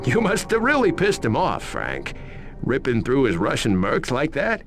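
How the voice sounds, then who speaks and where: An actor playing a brash, needling police interrogator in a video game cutscene. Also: male voice, mid-range voice, moderate pace, music in the background. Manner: needling, animated.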